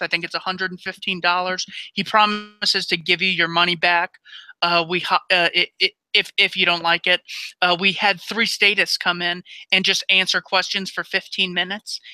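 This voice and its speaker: A man's voice: high voice, bright, forceful tone, clean tone, no echo, poor audio. A man hosting an interview, stumbling on restarts at times but speaking emphatically and with firm opinions. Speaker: interview host. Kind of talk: casual interview conversation.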